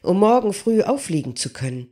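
A reader voicing a clumsy, childlike giant blacksmith who pleads loudly and desperately with his master. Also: medium pitch, sing-song, brisk, pitched above the performer's own voice, close to an everyday voice. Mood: flustered. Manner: raised, loud, desperate pleading.

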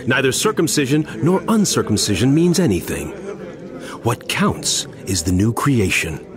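A man's voice: mid-range voice, sing-song, normal speed, crowd noise, close to an everyday voice. A man playing an earnest, admonishing apostle in a solemn, emphatic dramatized scripture reading. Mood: fervent, exhorting. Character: earnest, admonishing apostle writing a letter to believers. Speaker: audio Bible narrator.

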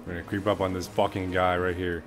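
Young male let's-player, deep low voice, hyped and foul-mouthed, faint game music under him. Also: fast. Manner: excitable, swears constantly, says "dude" and "bruh". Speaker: gameplay commentator. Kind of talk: casual gameplay commentary. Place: small bedroom.

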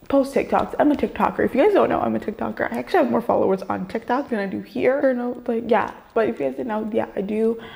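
A woman's voice: fairly high pitch, vocal fry, dark tone. A young woman rambling casually to her camera in a story-time vlog, with many fillers and restarts, her voice a little off after a shot. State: slightly off voice after a vaccine shot. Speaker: vlogger. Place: bedroom gaming setup, indoors.

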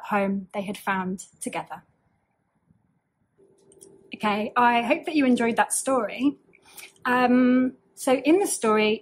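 A young woman reading and talking through a story for children at an unhurried pace. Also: medium pitch, slightly rough, low-quality recording. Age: young adult. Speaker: story reader. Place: small indoor room with bookshelves.

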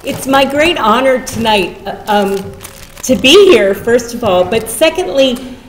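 A woman's voice: a little high, lively intonation, a little slow. A middle-aged woman giving informal remarks at a podium, chatty and often breaking off her own sentences. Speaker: nonprofit head and poet speaking at a podium. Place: large meeting hall with seated audience, indoors. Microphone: podium gooseneck microphone. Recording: echoey hall, audience murmur, faint noise.

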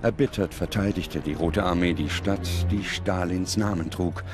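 Low-pitched male documentary narrator. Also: steady tone, moderate pace. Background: music.

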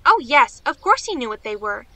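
A woman's voice: sits high, very animated, moderate pace, bright, forceful tone, no echo, heavily acted. A woman playing a naive, eager young niece in a radio play, chattering on in rambling run-on sentences. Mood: eager, chatty.

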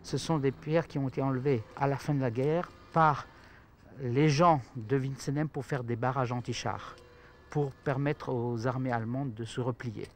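A man's voice: mid-range voice, fairly even intonation, moderate pace. A middle-aged man acting as a local guide, explaining things in conversation outdoors. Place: outdoors in a village cemetery. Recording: outdoor camera sound, faint noise.

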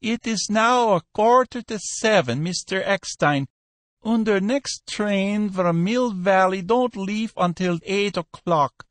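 A man voicing a fussy, unhurried art shop owner with a drawn-out, deliberate, colloquial way of talking. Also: high voice, dry recording, voice pushed up. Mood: unhurried, put-upon.

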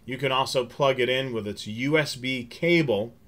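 A man's voice: mid-range voice, moderate pace, a bit bright. A middle-aged American man hosting a hobby show, talking casually and practically to viewers as he explains things step by step. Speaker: host of a railfan show. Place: small home office with bookshelves and curtains, indoors.

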